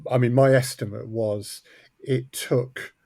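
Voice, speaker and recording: A man with a mid-pitched voice, recorded close and dry. He talks as an audio producer and interview guest, explaining his process conversationally. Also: steady tone, slow pace, vocal fry, warm tone, no room sound.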